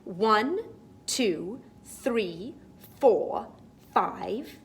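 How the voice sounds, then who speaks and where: A woman storytime teacher reading a picture book aloud to young children, expressive and sing-song, with chatty asides. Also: mid-range voice, extremely slow, slight background noise.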